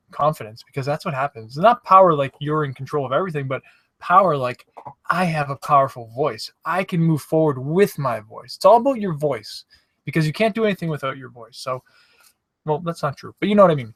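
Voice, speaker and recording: An upbeat male host coaching his viewers, his voice sitting a little high for a man. He keeps talking straight to the listener with lots of "you know" asides. The recording is dry, with no room sound. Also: expressive, brisk, fairly bright tone, no room sound. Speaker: video coach and live show host. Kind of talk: casual motivational coaching talk. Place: campsite.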